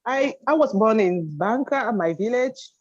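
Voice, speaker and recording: A woman from Cameroon on a video call, telling her story in a halting way with many restarts. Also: fairly high pitch, expressive, darkish tone. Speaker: guest. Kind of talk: casual chat. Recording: dull lo-fi call audio.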